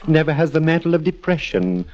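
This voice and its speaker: A man's voice: a little high, big pitch swings, fairly quick, a little mellow. An actor playing a self-pitying middle-aged male aesthete photographer, pompous, flowery and theatrical in 1940s radio style. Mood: mournful, grandiose. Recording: muffled old radio recording.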